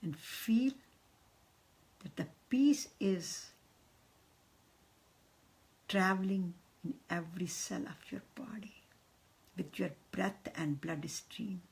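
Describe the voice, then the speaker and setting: A woman, leading a guided meditation in a soft, half-whispered voice. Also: fairly low pitch, slow, vocal fry, pauses often, husky.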